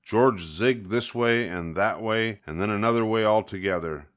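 A man's voice: fairly low pitch, normal speed, fairly bright tone. An older man reading a children's picture book aloud, expressively, leaning on key words. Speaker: storybook reader.

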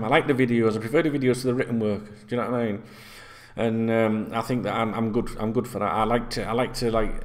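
A middle-aged man, ranting casually and swearing a lot as a football vlogger talking straight to camera in a small room. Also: medium pitch. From Yorkshire, England. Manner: sweary, rambling, conversational. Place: small indoor room, plain wall.

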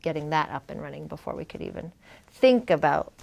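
A woman's voice: deep voice, expressive, a little fast, gravelly, a little mellow. A woman in her thirties talking casually as a guest in a studio interview. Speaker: business co-owner, interview guest. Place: small TV studio. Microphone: lapel mic.

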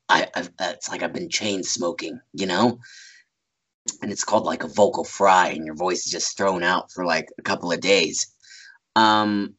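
A man's voice: sits low, fairly bright tone. A man in his thirties, a voice actor guest, chatting casually over a video call from a small room at home, rambling with fillers and laughs. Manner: rambling, laughs often, many fillers and restarts. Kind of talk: casual interview chat. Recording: muffled video call audio.

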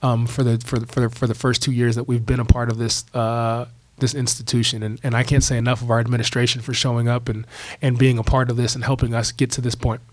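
A man in his forties, a basketball coach answering postgame press questions at a table mic, talking in a measured way with many restarts. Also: mid-range voice, dry recording. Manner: repetitive restarts, steady and measured. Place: press conference room, seated at table. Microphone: table mic on stand.

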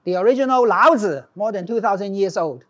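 Casting: middle-aged male lecturer, Hong Kong accent, informal academic delivery with emphatic, animated manner. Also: high-pitched, brisk. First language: Cantonese. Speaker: professor, lecturer. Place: classroom with small audience, indoors.

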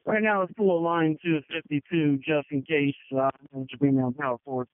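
A man's voice: on the high side, fairly even intonation, moderate pace, no echo. A man acting as fire chief in command, giving short, matter-of-fact orders over a two-way radio. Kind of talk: emergency radio traffic, incident command. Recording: tinny narrowband fire radio transmission.

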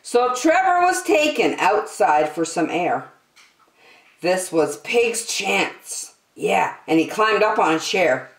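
An older woman hosting story time, reading a picture book aloud to young children with expressive, drawn-out emphasis. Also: mid-range voice, far from the mic. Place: small decorated indoor room. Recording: roomy echo.

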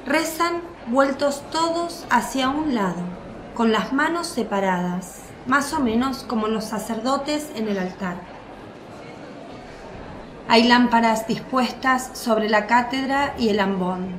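A woman narrating a scripted radio drama in a measured, formal storytelling manner, with an echoing sound. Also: fairly high pitch, background noise. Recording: roomy, echoing voice track. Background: faint music.

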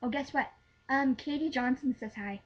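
A woman's voice: high-pitched, moderate pace. A young teenage girl with a girlish voice chatting casually as a prank caller on muffled webcam audio. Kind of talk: casual phone prank chat. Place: small room at home. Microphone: webcam or laptop microphone.

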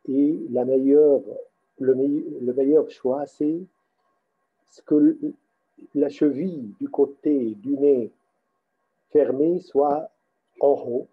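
A man guiding a meditation as its teacher, speaking haltingly in short phrases with pauses between them. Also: medium pitch, fairly even intonation, slightly rough, narrow, tinny audio. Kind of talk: guided meditation instruction.

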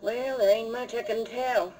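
A woman's voice: fairly high pitch, steady tone, unhurried, darkish tone, lightly acted. An American woman playing a weary, grieving, motherly ranch widow, folksy and resigned, in 1950s Western radio style. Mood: resigned, sorrowful. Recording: noisy old radio recording.

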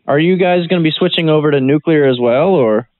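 A man's voice: a little high, brisk, dry recording. Male prank caller, casual and rambling with a straight-faced earnestness, on a narrow dry phone line. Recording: band-limited phone line.

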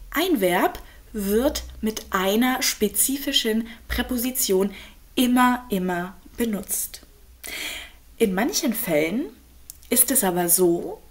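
A young woman, a native speaker and German teacher, calmly explaining grammar in an online lesson with worked examples. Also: medium pitch. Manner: slow, clear, patient explaining with examples.

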